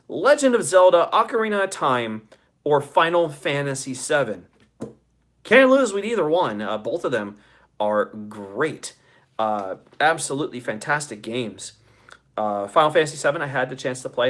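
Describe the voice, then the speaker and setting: A man's voice: medium pitch, very animated, moderate pace, fairly bright tone. A man in his forties hosting a casual livestream, reading out audience comments in chummy, profane slang with enthusiasm. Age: 40s. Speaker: show host.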